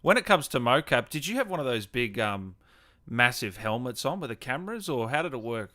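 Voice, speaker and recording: A man's voice: mid-range voice, big pitch swings, a little fast, crowd noise. A man hosting a casual livestream interview, reading out questions in a friendly, matey, informal way. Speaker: interviewer, gaming show host.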